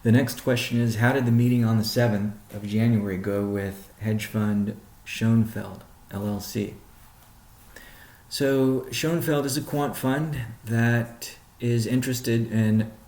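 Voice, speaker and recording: Low-voiced guy, mellow and halting, ceo fielding questions on a conference call, roomy echo. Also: creaky. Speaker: company chief executive on a conference call. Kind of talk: answering read-out questions on a business conference call.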